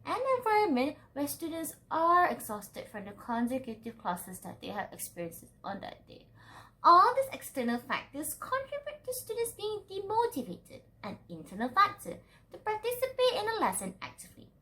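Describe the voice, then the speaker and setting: A woman's voice: very high-pitched, huge pitch swings, moderate pace, bright, forceful tone. A young Malaysian woman reading a scripted student presentation in a formal, careful manner.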